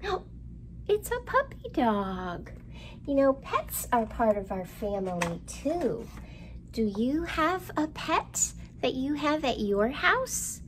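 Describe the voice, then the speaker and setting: Animated, unhurried woman hosting children's storytime. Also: high voice, a little hiss or hum. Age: middle-aged. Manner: simple child-directed talk with questions and exaggerated delivery. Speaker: children's librarian, storytime host. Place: indoor room, seen at a storytime backdrop.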